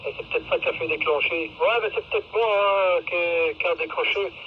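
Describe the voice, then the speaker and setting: A fairly high-voiced man talks over a two-way radio in a casual, rambling way. The transmission is dull and clearly noisy, and he often hesitates as he goes. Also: fairly quick. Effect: walkie-talkie radio. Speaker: amateur radio operator.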